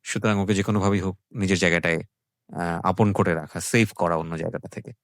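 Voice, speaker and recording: A man's voice: deep voice, fairly even intonation, fairly quick, dry recording, studio quality. A man with a Bangladeshi accent hosting an interview, asking probing questions and mixing in English phrases. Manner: mixes English phrases into speech. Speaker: radio show host and interviewer.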